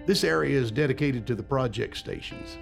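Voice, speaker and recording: An actor playing a steady, loyal general in charge of a secret project, gravelly, in an audio drama. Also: male voice, medium pitch, a little fast, faint music behind. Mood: assured.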